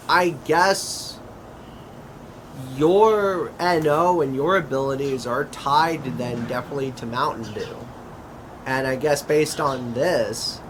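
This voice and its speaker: A man playing a casual, curious grown man in an amateur skit, talking conversationally and pausing mid-sentence. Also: fairly high pitch. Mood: curious, musing. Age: adult. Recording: clearly noisy, constant background hum.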